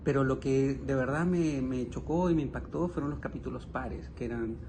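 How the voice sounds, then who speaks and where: Male interview guest, mid-pitched, thoughtful literary chat, halting delivery, noisy recording. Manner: hesitant, searching for words. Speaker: guest, writer. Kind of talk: conversational literary discussion.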